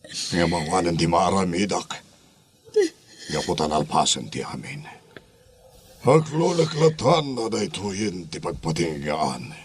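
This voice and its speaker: A deep-voiced father acted by a man in a melodramatic audio drama. He is grave and defeated, a crooked businessman weighed down by remorse. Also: very animated, slow, long pauses, slightly rough, full character voice. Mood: regretful, defeated.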